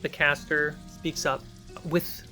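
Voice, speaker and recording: A man's voice: on the high side, flat delivery, takes it slowly, pushed and bright, faint music behind. A man narrating a tabletop fantasy game as game master, setting scenes and prompting players.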